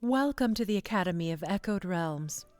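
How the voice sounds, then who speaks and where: A woman voicing a calm, commanding professor of magic, measured, solemn and gentle but firm, in a fantasy story. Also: on the low side, faint music behind, lightly acted.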